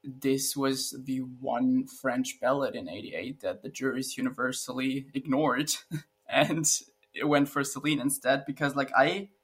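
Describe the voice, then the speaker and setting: Relaxed man giving opinions. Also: mid-range voice, measured pace, slightly rough. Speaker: podcast co-host. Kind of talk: casual chat.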